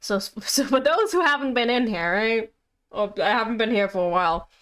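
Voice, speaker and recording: A woman's voice: fairly high pitch, lively intonation, normal speed, a bit bright. A woman live-streaming a game, chatting casually and playfully in a rambling way to viewers and herself. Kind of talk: casual livestream chat while playing a game. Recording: background music behind voice. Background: game music.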